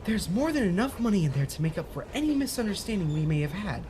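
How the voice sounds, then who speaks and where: A woman's voice: medium pitch, big pitch swings, quick pace, heavy background noise, natural voice. A woman playing a cheerful, shady fixer who talks in a sing-song children's show manner in a parody audio drama. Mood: placating.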